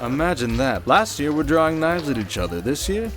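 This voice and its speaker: A man's voice: on the high side, big pitch swings, brisk. Man acting a suave, showy conman over music. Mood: boastful, amused. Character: smooth, showy male conman posing as high-rolling heir. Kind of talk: scripted audio roleplay acting. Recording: loud music under the voice.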